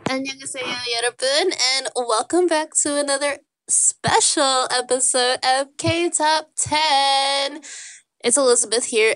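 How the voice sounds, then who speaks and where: A woman's voice: high-pitched, a bit bright, no echo, poor audio. A young woman, a student from Canada, hosting a casual music countdown in a bubbly, chatty way while congested. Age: young adult. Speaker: host. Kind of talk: casual chat.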